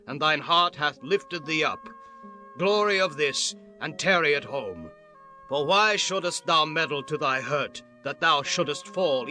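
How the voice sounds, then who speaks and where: A man's voice: on the high side, sharp tone. An actor playing a proud, scornful king, declaiming a haughty warning in a dramatized Bible audiobook.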